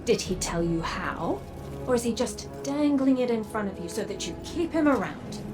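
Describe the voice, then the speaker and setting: A woman's voice: a little high, lively intonation, moderate pace, lightly acted. A woman voicing a hard-edged, distrustful, commanding character in a video game, blunt and stern. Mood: bitter, guarded. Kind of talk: dramatic video game dialogue. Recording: background music under the voice.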